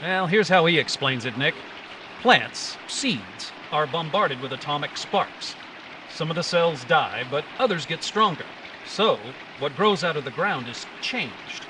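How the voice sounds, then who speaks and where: A man playing an earnest, authoritative hero of an old-time radio adventure, explaining things and giving brisk orders. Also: fairly high pitch, lively intonation. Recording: live stage recording with background noise.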